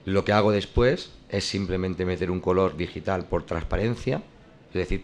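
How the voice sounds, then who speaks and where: A man's voice: low voice, gravelly, darkish tone, slight background noise. A man who works as a comic artist, explaining his drawing process in a hesitant way, with many restarts and fillers. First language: not French.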